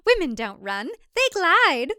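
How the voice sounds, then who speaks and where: Woman voicing a high, airy, entranced housewife. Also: sing-song, takes it slowly, pushed and bright, dry recording, studio quality, exaggerated voice. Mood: giggly, vacant. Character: entranced, giggly, vacant, airy cult wife.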